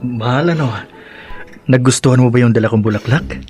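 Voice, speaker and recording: An actor plays a devoted young husband in a Filipino radio drama. His voice sits at a medium pitch and he speaks with care. Faint music plays underneath. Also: a little fast, lightly acted. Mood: loving.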